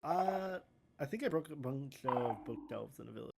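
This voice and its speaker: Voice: medium pitch, expressive, moderate pace, vocal fry, a little mellow, a little hiss or hum. A game player chatting casually and a little hesitantly with a group. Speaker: Minecraft roleplay player.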